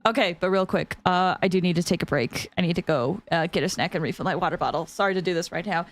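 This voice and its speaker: A woman's voice: fairly low pitch, quick pace. A woman livestreamer reacting to a game in a casual, effusive way, exclaiming and repeating herself. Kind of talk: casual excitable live reaction chat.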